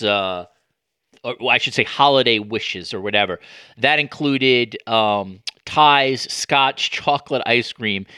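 A man hosting a podcast interview, talking conversationally and probing as he works through halting, mid-sentence restarts. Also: medium pitch, bright, forceful tone, smooth, dry recording, clean studio sound. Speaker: podcast host, sports journalist.

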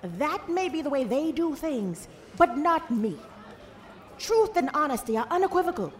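A woman's voice: high voice, very animated, moderate pace. An actress playing a principled, outspoken congresswoman in an audio drama, emphatic and plain-spoken. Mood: defiant. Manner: emphatic, plain-spoken, uses blunt slang.

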